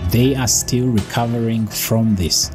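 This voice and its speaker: A man who hosts a channel, reading a scripted narration of facts in a measured, teaching tone. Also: medium pitch, steady tone, loud music behind the voice. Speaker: channel host. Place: voice-over with background music.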